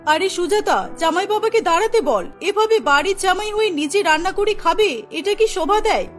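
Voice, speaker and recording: A woman's voice: very high voice, lively intonation, normal speed, faint music behind. A woman voicing an anxious, fussing middle-aged mother-in-law in a cartoon, with a fretful manner. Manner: fretful, fussy. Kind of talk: cartoon story dubbing.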